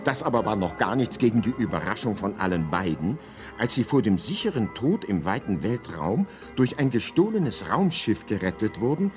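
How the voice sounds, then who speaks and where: Droll guidebook narrator, low voice, animated intonation, mock-solemn radio storytelling. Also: male voice, normal speed. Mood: wry recounting. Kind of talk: scripted radio drama narration. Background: music.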